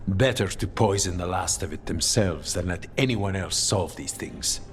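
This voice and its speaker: An actor playing a grim, determined assassin commander in a video game, terse and serious in manner. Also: male voice, deep voice, moderate pace, a little hiss or hum.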